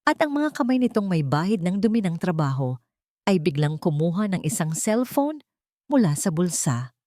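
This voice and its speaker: A woman narrating a scripted drama in a steady storytelling manner. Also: on the low side, normal speed, dry recording, clean studio sound.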